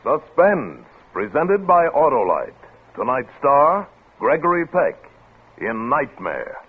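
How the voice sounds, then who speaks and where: A man's voice: mid-range voice, sing-song, takes it slowly, slightly rough. A man announcing a 1940s radio show in a dramatic, portentous style. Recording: tinny old radio, loud music behind.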